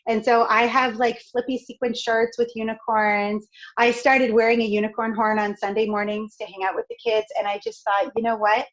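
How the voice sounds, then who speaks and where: A middle-aged woman from Canada with a raspy, crackly voice, talking in an outgoing, expressive way as a podcast guest. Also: on the high side, moderate pace, few pauses, highs cut off. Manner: outgoing, expressive, laughs often. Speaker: podcast guest, speaker and author. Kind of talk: upbeat conversational interview.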